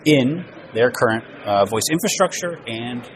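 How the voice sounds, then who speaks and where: Guy hosting an interview, normal pitch, reading out questions, slight background noise. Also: expressive, measured pace. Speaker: interviewer, host. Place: naval trade show event.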